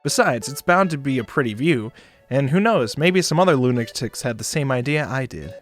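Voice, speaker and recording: A man plays a wry, broke shuttle passenger in a visual novel, laid-back and casual. The voice is mid-pitched and dry-humoured, with faint music behind it. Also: lively intonation, moderate pace, close to an everyday voice. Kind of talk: visual novel character reading.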